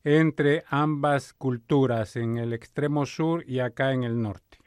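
A man's voice: mid-range voice, takes it slowly, smooth, no room sound, clean studio sound. A man hosting a radio interview, prompting his guest and finishing her sentences.

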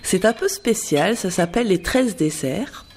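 A woman's voice: mid-range voice, lively intonation, unhurried, darkish tone, husky. A woman with a Provençal French accent, explaining a tradition in a storytelling manner. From southeastern France. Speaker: guest cook.

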